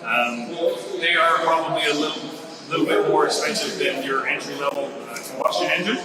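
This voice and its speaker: A man's voice: fairly high pitch, fairly even intonation, fairly quick, over a noisy crowd. A Canadian man casually explaining electric cars in an earnest, helpful way at a live event. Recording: echoey room, far from mic, loud background noise.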